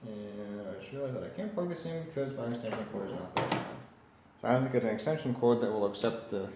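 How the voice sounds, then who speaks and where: A man's voice: lowish voice, lively intonation, fast. A man casually narrating a hands-on repair, tentative and thinking aloud as he works. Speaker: hobbyist radio repairer presenting. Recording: roomy echo with faint background noise.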